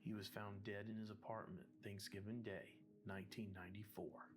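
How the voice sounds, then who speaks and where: A man's voice: on the low side, flat delivery, moderate pace, music in the background, lightly acted. A man playing a somber, resolute investigator, narrating an analog horror story in a grave, earnest way.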